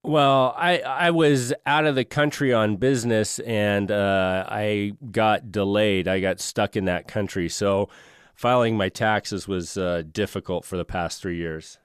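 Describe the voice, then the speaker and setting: A man's voice: lowish voice, fairly even intonation, measured pace, smooth, no room sound, clean studio sound. A man in a casual phone conversation, giving brief, compliant answers as he plays along. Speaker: prank caller playing along with a scammer.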